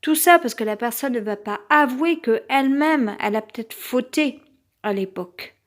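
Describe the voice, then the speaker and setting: A woman's voice: a little high, expressive, moderate pace. A woman with a French accent who has a cold, giving a rambling personal monologue as a vlogger, tense and hesitant, with many fillers and restarts.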